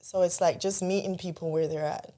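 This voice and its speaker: A woman's voice: fairly low pitch, brisk. Woman telling her story. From Jamaica. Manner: earnest, storytelling, animated when recalling childhood. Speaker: guest. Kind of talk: casual podcast conversation.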